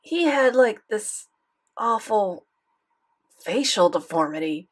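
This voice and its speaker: A woman's voice: mid-range voice, animated, slow pace, breathy edge. A woman voicing a defensive, self-pitying preteen boy who talks evasively. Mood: sulky. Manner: sulky, evasive.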